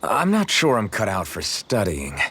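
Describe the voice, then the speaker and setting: A man's voice: lowish voice, wildly swooping pitch, normal speed, a bit bright, slightly rough, full character voice. A man playing a modest, good-natured young swordsman with a casual, unpretentious way of talking, in video game dialogue. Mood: unsure, self-deprecating. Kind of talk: English-language video game character dialogue.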